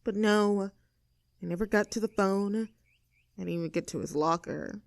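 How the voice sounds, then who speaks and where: A woman's voice: mid-range voice, moderate pace, creaky, no echo, lightly acted. A snooty young mean girl, acted out by a woman for an audio roleplay. She sounds bratty and sarcastic, always ready to take offence, with a mid-range female voice. The recording is dry, with no room around her words. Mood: confiding, guarded. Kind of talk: acted audio roleplay drama, addressing the listener.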